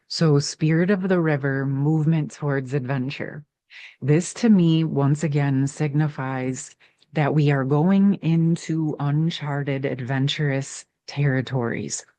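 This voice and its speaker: Slow gentle woman doing a spiritual card reading, warm soft voice, dry close sound. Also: deep voice, no room sound. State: voice catching, clearing throat. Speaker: intuitive card reader.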